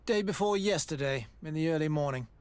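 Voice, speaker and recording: An actor playing a courteous, matter-of-fact military doctor and scholar in a video game, speaking formally and precisely. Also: male voice, on the high side, animated, fairly quick, darkish tone, clean tone, dry recording, natural voice. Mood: regretful, matter-of-fact. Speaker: army doctor. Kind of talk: video game dialogue acting.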